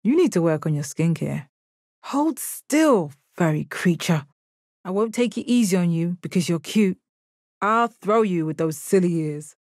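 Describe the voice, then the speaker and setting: A woman playing a stoic, confident, commanding gem warrior in a video game, with a measured, deadpan delivery. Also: fairly low pitch, no room sound, studio quality. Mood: stern. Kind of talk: video game character battle lines.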